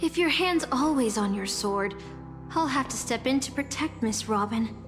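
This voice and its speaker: Game bodyguard: high voice, composed, wary, politely firm, reverberant. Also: female voice, expressive, moderate pace. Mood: politely warning. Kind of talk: scripted video game dialogue. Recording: reverberant game audio with music.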